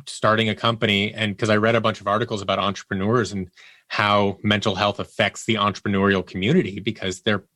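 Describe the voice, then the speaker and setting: A man's voice: fairly low pitch, level tone, fast, fairly bright tone, no echo, clean studio sound. A man speaking as an interview guest, conversational, earnest and reflective as he explains things. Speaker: interview guest, audio drama producer.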